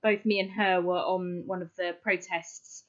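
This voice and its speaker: A woman guest in an interview, answering politely and briskly. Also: on the low side, flat delivery, dull audio.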